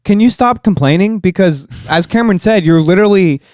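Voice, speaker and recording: A man's voice: high-pitched, expressive, a little fast, a little mellow, dry recording, studio quality. A young adult man chatting casually as a podcast co-host, blunt and opinionated. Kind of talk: casual chat.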